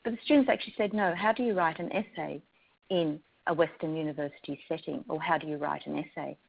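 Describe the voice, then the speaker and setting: A woman's voice: deep voice, quick pace, creaky. A woman with an Australian accent, a university lecturer giving a thoughtful talk with frequent ums and restarts. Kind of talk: prepared talk to educators. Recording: slightly dull lo-fi recording.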